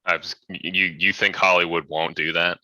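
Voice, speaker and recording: A man's voice: sits low, flat delivery, moderate pace, sharp tone, no echo. A man co-hosting a casual chat, tossing out sardonic jokes among friends. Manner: sardonic, joking. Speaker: podcast co-host. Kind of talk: casual banter.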